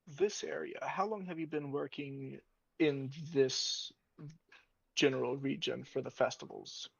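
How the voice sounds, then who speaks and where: Slow, gravelly male tabletop player. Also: medium pitch, steady tone, a bit bright, no room sound, poor audio. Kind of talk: casual game talk, describing his character's actions.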